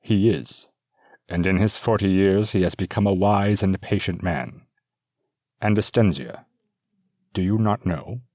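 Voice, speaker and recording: A stern older nobleman refuses his daughter's protests, firm and commanding, as a man puts him on. His voice is very deep and husky, in a dry room. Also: a little slow, long pauses, dark tone, no echo.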